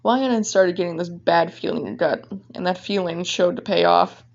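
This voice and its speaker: A woman's voice: fairly low pitch, moderate pace, vocal fry. A woman casually reading her own fan fiction aloud, informally breaking off to comment on it. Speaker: fan fiction writer and reader. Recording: dull, lo-fi microphone sound.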